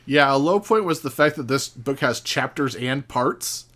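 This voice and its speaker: A man guesting on a podcast, chatting casually in a halting, incredulous way. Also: medium pitch, animated, a bit bright. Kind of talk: casual chat.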